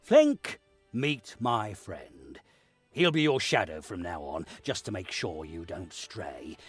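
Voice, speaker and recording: An actor playing a stern, authoritative lord of a castle in a video game, husky and deliberate. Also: male voice, deep voice, sing-song, soft background music, exaggerated voice. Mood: commanding, urgent. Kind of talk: video game cutscene acting.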